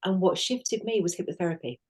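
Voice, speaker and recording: A middle-aged woman with a lowish, mellow voice talks through her experiences in a relaxed podcast chat. She is earnest and reflective and often stops to restart her sentences. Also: brisk. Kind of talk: casual conversational podcast.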